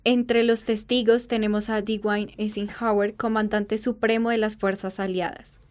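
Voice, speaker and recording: Female wartime newsreader played by a woman: crisp, smooth voice, formal and measured, solemn radio-drama bulletin delivery. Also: medium pitch, level tone, darkish tone, lightly acted. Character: solemn female radio news reporter announcing war's end from Paris. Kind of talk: scripted radio news narration.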